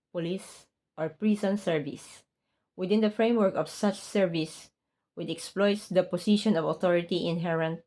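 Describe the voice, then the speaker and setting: A woman with a Filipino accent and a somewhat low voice talks to her viewers in a relaxed vlog manner. When she reads an English document aloud, she goes slowly and carefully, with small slips along the way, since English is not her first language. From Philippines. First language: Tagalog. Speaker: vlogger, hotel housekeeper. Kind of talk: casual vlog, then reading a document aloud.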